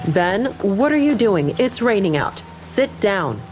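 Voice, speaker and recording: A woman's voice: mid-range voice, sing-song, measured pace, a little mellow, faint music behind. A woman playing a stern middle school teacher in an audio drama. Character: female middle school maths teacher, stern then alarmed.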